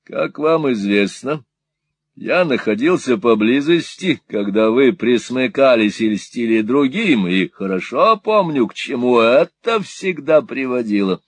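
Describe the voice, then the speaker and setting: A cold, terse older moneylender who answers in dry, cutting remarks, as a man reading a story puts him on. The voice moves lively in pitch and sits in a dry, close recording. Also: measured pace, no echo. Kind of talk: audiobook reading.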